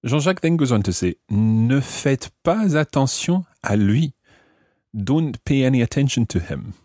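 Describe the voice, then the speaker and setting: A man presenting a language lesson, explaining phrases didactically and repeating them deliberately. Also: medium pitch, animated, a little mellow, dry recording, clean studio sound. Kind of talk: explaining French grammar phrase by phrase.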